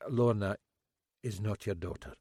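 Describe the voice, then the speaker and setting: A man's voice: dark tone, no room sound, studio quality. A man playing a grave Scottish detective. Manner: low, measured and grave. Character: stern calm Scottish detective inspector, older man.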